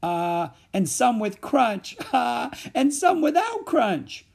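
A man voicing a big, good-humored truck driver in a storybook, with a slow, drawn-out delivery. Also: on the high side, lively intonation, vocal fry, dark tone, breathy edge, full character voice. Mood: gleeful, laughing.

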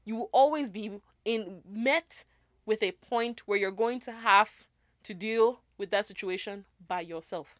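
A young woman co-hosting a casual podcast, animated and laughing often as she gives advice point by point. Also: medium pitch, unhurried, no room sound, clean studio sound. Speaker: podcast co-host. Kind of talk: casual chat.

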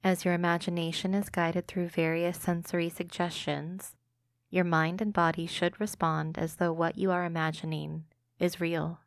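A woman hosting a guided imagery meditation podcast, speaking slowly and gently into a close mic. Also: on the low side, little pitch movement, no echo. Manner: slow, soothing, gentle delivery.

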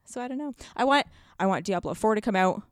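A woman's voice: mid-range voice, lively intonation, quick pace, no echo, clean studio sound. A woman chatting casually as a podcast guest, speaking effusively and with emphasis about what she likes. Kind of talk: casual chat.

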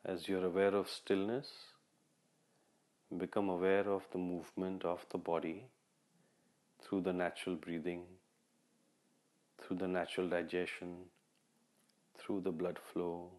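A man leading a guided meditation in short, repeated instructional phrases, measured and with long pauses. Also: deep voice, little pitch movement, darkish tone. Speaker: meditation guide, podcast host.

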